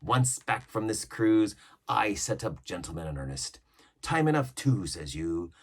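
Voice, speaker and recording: A man's voice: fairly low pitch, animated, unhurried. A man voicing a scheming older pirate cook who talks in a coaxing, boastful seaman's way. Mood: wheedling.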